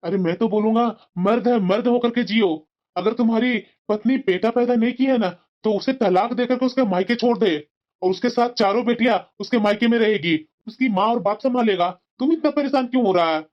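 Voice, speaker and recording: A man's voice: extremely high pitch, brisk. Guy doing a pushy cartoon pal giving callous advice, chummy, muffled sound. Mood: pushy, persuasive. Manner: insistent, persuasive, familiar. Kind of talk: cartoon moral drama acting.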